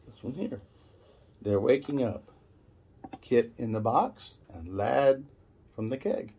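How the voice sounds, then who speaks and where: A man's voice: mid-range voice, expressive, takes it slowly, dark tone, husky. A middle-aged man guiding young children through reading, explaining in short simple phrases and prompting with questions. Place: small indoor room at a table.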